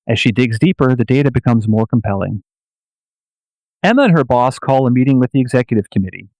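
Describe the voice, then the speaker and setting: A man reads scripted narration for a radio play. His voice sits at a middling pitch. The sound is close and dry, without room echo. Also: darkish tone.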